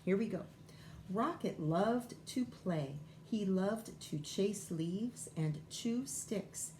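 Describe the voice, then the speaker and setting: A woman's voice: mid-range voice, animated, slow, darkish tone, slight background noise. A woman schoolteacher reading a children's picture book aloud, informally thinking aloud and wondering as she goes.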